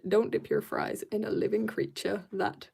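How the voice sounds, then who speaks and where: A woman chatting on a casual drawing livestream, reading out viewer comments, answering them and trailing off into quiet mumbles to herself as she works. She says she is tired. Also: mid-range voice, flat delivery, moderate pace, vocal fry, slightly rough.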